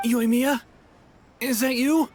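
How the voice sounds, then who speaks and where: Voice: mid-range voice, fairly even intonation, slow pace, darkish tone. An actor playing a timid, apologetic male fugitive in a video game, speaking hesitantly. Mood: tentative.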